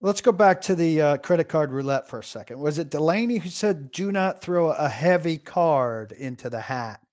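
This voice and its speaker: A man's voice: on the high side, expressive, normal speed, darkish tone. A man interviewing a guest, asking questions in a playful, teasing way. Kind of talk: podcast interview.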